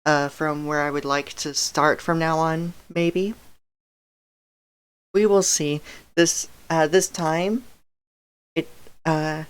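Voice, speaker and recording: A woman streaming a game and chatting casually to her viewers, her throat a bit dry and raspy, talking in a relaxed, rambling way with soft laughs. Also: sits low. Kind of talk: casual live-stream chat.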